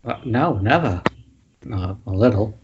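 A man's voice: lowish voice, animated, unhurried. A man playing a nervous, self-important writer directing his first film, chummy and casual. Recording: muffled, noisy internet call.

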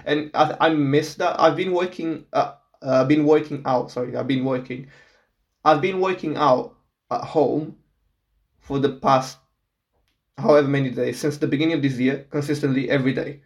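A man's voice: on the high side, normal speed. Laid-back young man, easy chat. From Portugal. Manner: relaxed, laughs often, repeats words when starting. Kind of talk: casual chat.

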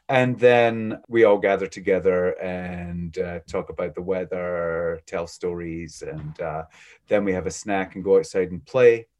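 A man with a low, booming voice chats about his work as a preschool teacher. He is unhurried and reflective and often restarts his sentences mid-thought. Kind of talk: casual interview chat.

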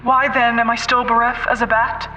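A woman's voice: fairly high pitch, level tone, normal speed, pushed and bright, a little hiss or hum. A woman playing a defiant dame in an old-time radio mystery, theatrical and declamatory. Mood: pleading, bold. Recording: distant radio sound with music underneath.

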